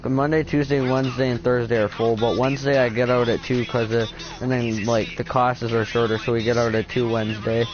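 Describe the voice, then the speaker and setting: A deep-voiced teenage boy chatting casually with a friend while playing a game, laid-back and swearing a lot. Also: flat delivery, brisk, few pauses, muffled recording, music in the background. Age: teen. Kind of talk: casual gaming chat.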